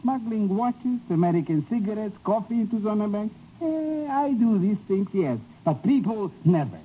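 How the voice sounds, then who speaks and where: Wily, excitable European smuggler, high and mellow, theatrical wheedler, vintage radio drama, noisy transfer. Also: male voice, moderate pace. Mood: protesting. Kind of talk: 1950s radio drama acting. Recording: noisy old 1950s radio recording.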